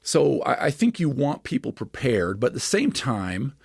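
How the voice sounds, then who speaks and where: A man with a gravelly, creaky voice walks through his ideas as a podcast guest, talking with eager, lecturing energy. He keeps checking in with quick "right?" tags. The sound is close and dry, with no room around it. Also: medium pitch, wildly swooping pitch, a little mellow, no room sound. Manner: enthusiastic, lecturing with many asides and "right?" tags. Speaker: podcast guest, scripture scholar. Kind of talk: explanatory podcast conversation.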